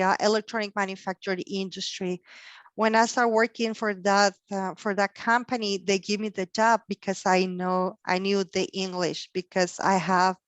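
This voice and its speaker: A woman's voice: medium pitch, clean tone, no echo. Woman with a Mexican accent, dry sound. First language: Spanish. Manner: halting, many fillers and restarts. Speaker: career panel speaker. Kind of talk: career talk to students.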